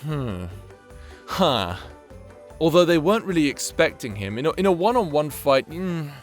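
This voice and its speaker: Male commentator, slightly high-pitched voice, casual and unscripted, weighs options aloud, faint music behind. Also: very animated, normal speed. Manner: thinks aloud, weighing and second-guessing before giving blunt verdicts; mild swearing. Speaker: audio creator ranking his fictional characters in matchups.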